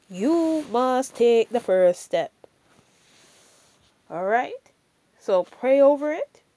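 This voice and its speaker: Slow, earnest woman with a Jamaican lilt. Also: high voice, dark tone. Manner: earnest, emphatic, repeats key phrases; chatty, adds "you know" and "all right". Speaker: faith encouragement speaker. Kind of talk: casual motivational faith talk.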